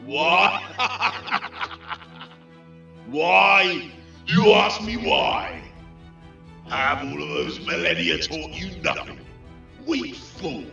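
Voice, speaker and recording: A man voicing a mad, arrogant warlord demigod who sneers and roars, drawling slowly. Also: a little high, wildly swooping pitch, vocal fry, sharp tone, roomy sound, soft background music, voice pushed up, exaggerated voice. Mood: manic gloating. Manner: roaring, sneering, manic.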